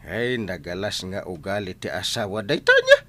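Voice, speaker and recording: A man's voice: on the low side, wildly swooping pitch, normal speed, fairly bright tone. A man playing a gossipy village captain in an Ilocano comedy radio drama, talking in exclamations and interjections.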